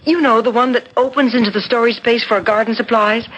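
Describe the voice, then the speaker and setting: A woman's voice: fairly high pitch, steady tone, brisk, a bit bright, breathy edge. An actress playing a determined, probing married woman in a 1970s radio drama. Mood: stubborn, insistent. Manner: insistent, probing. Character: determined married woman, doubting her best friend's suicide. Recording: noisy vintage radio broadcast.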